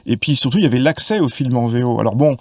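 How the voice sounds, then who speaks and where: A man in his fifties from Paris with a gravelly edge to his voice, talking as a podcast guest. He lays out his thoughts in long, winding explanations full of hesitations and restarts. The sound is dry and close, with no room around it. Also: mid-range voice, animated, quick pace, no echo. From Paris, France. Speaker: podcast guest, film expert. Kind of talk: casual conversation.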